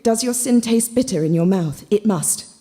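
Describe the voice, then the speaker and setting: Bossy, zealous girl of thirteen, read by a woman, solemn and pious, mellow tone, for a literary story. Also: mid-range voice, lively intonation, moderate pace, voice pushed up, lightly acted. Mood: commanding. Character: bossy, zealous thirteen-year-old girl playing preacher.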